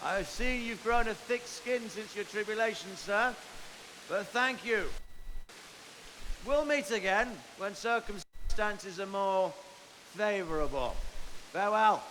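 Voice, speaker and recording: An actor playing a commanding middle-aged medieval lord in a game cutscene, wry and gruff, with declamatory old-fashioned speech. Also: male voice, high-pitched, slow pace, full character voice. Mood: solemn. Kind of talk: dramatic game cutscene acting. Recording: echoing reverb with loud rain hiss.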